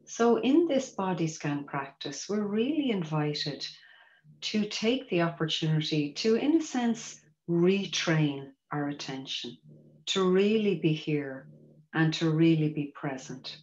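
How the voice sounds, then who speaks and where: Meditation guide, female, low register, gentle and measured delivery, inviting tone; dull-sounding recording in an echoey room. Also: animated. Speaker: mindfulness teacher guiding a body scan. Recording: roomy echo, dull muffled sound.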